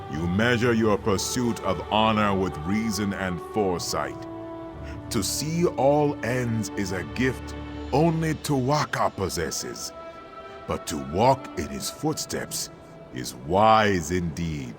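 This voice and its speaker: A man playing a wise, devout mentor in a fantasy game, speaking in an expressive, reverent and measured way. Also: on the low side, exaggerated voice. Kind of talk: scripted fantasy game acting.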